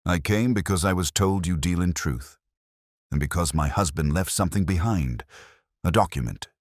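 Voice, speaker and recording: A man's voice: sits low, normal speed, no echo, studio quality. A man voicing a tense, guarded society widow, a frightened woman, in an audiobook. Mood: urgent, guarded. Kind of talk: audiobook character dialogue.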